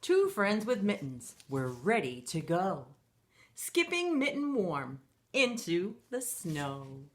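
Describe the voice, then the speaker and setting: A woman's voice: on the low side, huge pitch swings, slow pace. A middle-aged woman reading a picture book aloud to young children in a playful, sing-song manner. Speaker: children's storytime reader.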